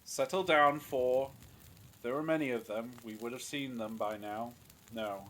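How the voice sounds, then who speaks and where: A cynical, scornful male captive in an audio drama, acted by a man. His voice is mid-pitched and his delivery sardonic and dismissive. There is faint noise and ambience behind him. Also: steady tone, moderate pace, fairly bright tone, lightly acted, poor audio. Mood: wary, commanding. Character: suspicious, scornful male abductee, cynical and sarcastic.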